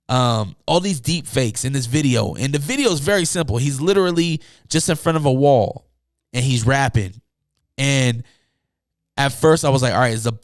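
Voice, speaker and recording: A young man with a hoarse, tired voice hosting a casual solo podcast, rambling loosely with many fillers and asides from a room at home. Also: medium pitch, no room sound, clean studio sound. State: hoarse, losing his voice. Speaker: podcast host.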